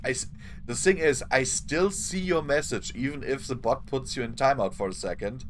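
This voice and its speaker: A man streaming a game live, hoarse and losing his voice, chatting apologetically to his viewers. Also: mid-range voice, moderate pace, a little hiss or hum. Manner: apologetic, chatty, talks to chat. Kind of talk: live gameplay commentary.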